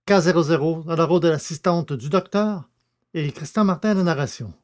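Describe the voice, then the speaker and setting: A man's voice: on the high side, brisk, darkish tone. A man reading scripted narration and credits for an audio drama.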